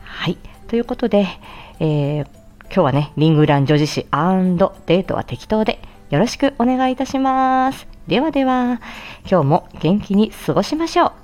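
A woman's voice: medium pitch, lively intonation, normal speed, a little mellow. A woman host giving a casual, chatty morning announcement in a cheerful, giggly and informal manner. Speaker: audio channel host, speech therapist and amateur voice actor. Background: background music.

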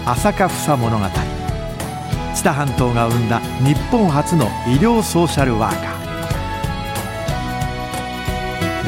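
A male announcer reads out radio drama titles. His delivery is slow and very lively in pitch. Loud instrumental music plays under him.

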